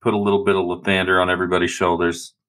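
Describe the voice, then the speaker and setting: A man's voice: deep voice, little pitch movement, very fast, fairly bright tone. A man playing a tabletop game, bantering casually in an emphatic, mock-serious way.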